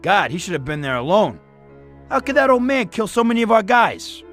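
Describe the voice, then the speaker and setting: A man's voice: sits high, big pitch swings, a little fast, a bit bright. A man playing an arrogant, cocky gangster with mockingly polite manners in a video game. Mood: alarmed. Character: arrogant male gangster, younger brother of a boss. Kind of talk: dramatic video game acting. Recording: faint music behind the voice.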